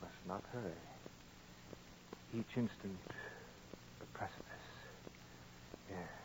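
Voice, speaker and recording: An actor playing an anguished, feverish gambler turned murderer in a theatrical 1940s radio horror style, brooding and swinging between hushed and frantic. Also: male voice, low-pitched, slow, slight background noise, low-quality recording. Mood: hushed dread. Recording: old radio recording with loud music.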